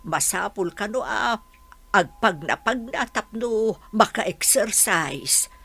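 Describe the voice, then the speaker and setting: A woman playing a plain-spoken, caring older village auntie with a husky voice in a radio drama. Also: medium pitch, expressive, takes it slowly, a bit bright, full character voice. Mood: worried, matter-of-fact.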